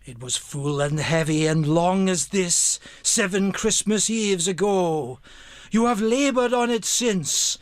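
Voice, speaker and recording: An actor playing the solemn, tormented ghost of a dead businessman in a radio play, with grave, declamatory, drawn-out delivery. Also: sits high, no room sound. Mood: solemn, mournful.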